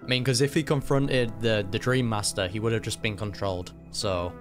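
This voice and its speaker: A man's voice: fairly low pitch, fairly even intonation, normal speed, over music. A man giving casual reaction commentary on a game, musing aloud with some hesitation.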